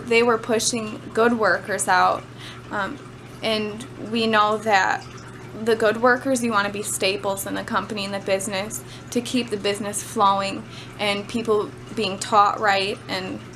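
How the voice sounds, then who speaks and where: A woman's voice: mid-range voice, a bit bright. A woman giving an informal student speech about personal experience, speaking in a conversational, somewhat hesitant way. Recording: clearly noisy amateur camera recording.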